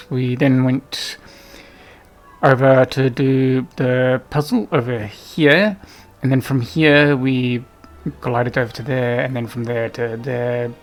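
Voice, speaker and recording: A man giving casual, unscripted gameplay commentary in a hesitant, rambling way, often trailing off. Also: mid-range voice. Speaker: gaming video host.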